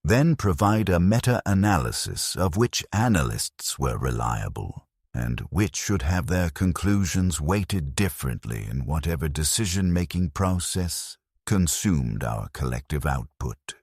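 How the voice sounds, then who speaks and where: A man narrating a first-person story in a measured, grave and detached way. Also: very deep voice, big pitch swings, a little mellow, slightly rough, dry recording, studio quality.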